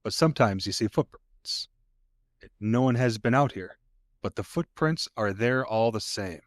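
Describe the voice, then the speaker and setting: An actor playing a chatty, folksy local sheriff's deputy in an audio play, telling things in a colloquial way. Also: male voice, lowish voice, expressive, dry recording, natural voice. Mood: earnest, storytelling. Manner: folksy, colloquial, unhurried storytelling. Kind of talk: naturalistic audio drama dialogue.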